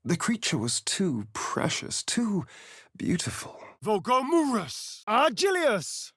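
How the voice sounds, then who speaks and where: Casting: foppish vampire spawn rogue, game companion. Disdainful theatrical drawl, wide expressive pitch swings, dry studio sound. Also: male voice, slow pace, breathy edge, dry recording, heavily acted. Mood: commanding, incanting. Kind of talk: video game voice acting, companion dialogue and narration.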